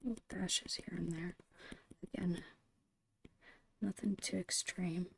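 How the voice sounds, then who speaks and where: A woman whispering a casual, gentle ASMR drawing tutorial in a breathy, unhurried voice. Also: lowish voice, steady tone, a little hiss or hum. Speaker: ASMR host and digital artist.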